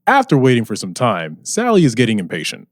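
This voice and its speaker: A man acting as a wry, theatrical narrator, reading scripted radio drama narration in a deliberate storytelling manner. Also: mid-range voice, huge pitch swings, dry recording, studio quality. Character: dramatic, wry male narrator of a mystery serial.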